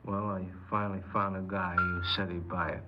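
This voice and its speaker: An actor playing a cold, remorseless, cocky killer and burglar in a 1950s radio crime drama, speaking in a clipped, matter-of-fact, commanding way. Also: male voice, deep voice, little pitch movement, fairly quick, over loud music, natural voice. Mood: detached, confessing. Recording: noisy, dull 1950s radio recording.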